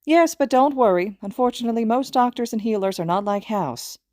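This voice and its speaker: Younger-sounding reader as an old woman healer, raised pitch, wry, brisk, bossy, audiobook character. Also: lively intonation, a little mellow, dry recording, studio quality, natural voice. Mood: wry, amused.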